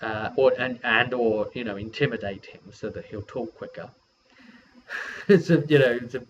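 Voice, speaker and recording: A man with an average-pitched voice casually retells a role-playing session as its game master. He rambles along informally as he narrates. The recording is dull and lo-fi, with faint noise behind him. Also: normal speed. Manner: rambling, acts out quoted dialogue informally. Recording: dull lo-fi audio with background noise.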